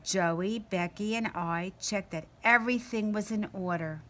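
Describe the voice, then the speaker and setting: A woman reading a children's story aloud in an expressive manner, acting out the dialogue. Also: medium pitch, measured pace. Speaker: story reader.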